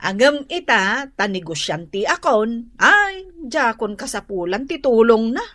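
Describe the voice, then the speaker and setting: Casting: protective Ilocano elder sister, exasperated and firm, unhurried, highish voice, dry radio drama sound. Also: female voice, big pitch swings, fairly bright tone, no echo.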